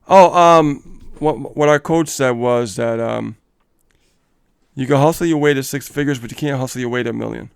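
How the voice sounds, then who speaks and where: A man's voice: medium pitch, moderate pace, vocal fry, clean tone. A man co-hosting a casual live coaching stream, upbeat and motivational, laughing often and reading viewer comments aloud.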